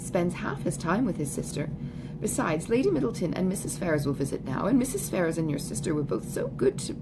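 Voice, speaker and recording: A woman's voice: mid-range voice, noisy background. A woman reading an audiobook, voicing a gushing, self-satisfied young woman with falsely sweet, emphatic chatter. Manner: effusive, emphatic, ungrammatical genteel chatter. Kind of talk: audiobook character dialogue.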